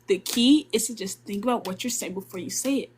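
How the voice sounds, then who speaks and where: A young woman casually explaining Korean grammar on a live stream and slowly sounding out Korean phrases. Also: mid-range voice, slight background noise.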